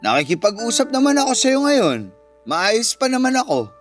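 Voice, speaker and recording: A man's voice: very high-pitched, wildly swooping pitch, normal speed, exaggerated voice. A man with a Filipino accent playing a defensive, evasive boyfriend in a radio drama. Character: defensive, evasive boyfriend caught stealing savings. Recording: music under the voice. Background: background music.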